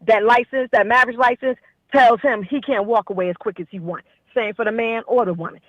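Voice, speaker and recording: A woman's voice: a little high, steady tone, fairly quick, no room sound, rough recording. A woman caller with a New Jersey accent, blunt and emphatic, repeating her points for effect. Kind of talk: casual call-in chat.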